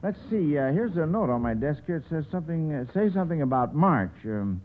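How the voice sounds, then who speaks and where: A narrow, faintly noisy old radio recording of an American man with a slightly high voice. He hosts a quiz in a halting, ad-libbed way, stretching out his hesitations. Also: very animated. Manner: hesitant, halting, drawn-out hems and haws. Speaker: quiz show host.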